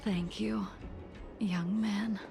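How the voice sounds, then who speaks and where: A solemn, grieving warrior woman from a video game. She speaks slowly and with measured restraint in a hushed, breathy voice that creaks at the edges. Music plays behind her. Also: medium pitch, fairly even intonation. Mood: cool, composed. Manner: slow, measured, grave. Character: solemn, grieving veteran swordswoman, cool and restrained. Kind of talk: English-dubbed video game story acting.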